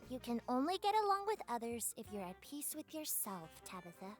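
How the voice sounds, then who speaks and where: A woman's voice: on the high side, very animated, brisk, a little mellow, soft background music, pitched above the performer's own voice. A woman voicing a tiny, prim, preachy pixie guide from a visual novel, pompous and theatrical. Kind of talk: visual novel character voice acting.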